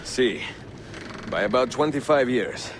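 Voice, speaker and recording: An actor with an Italian accent playing a dignified, calm and resolute middle-aged master assassin in a video game. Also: male voice, mid-range voice, a little slow, close to an everyday voice. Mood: dry, confident. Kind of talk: video game dramatic acting. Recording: game audio with clear background noise.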